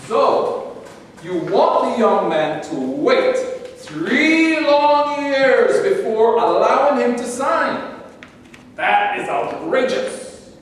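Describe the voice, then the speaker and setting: A man's voice: extremely high pitch, exaggerated voice. An actor in a staged play reading, playing a pompous, pushy male sports agent who talks with indignant bluster. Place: theater stage. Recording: echoey hall from far off, faint noise.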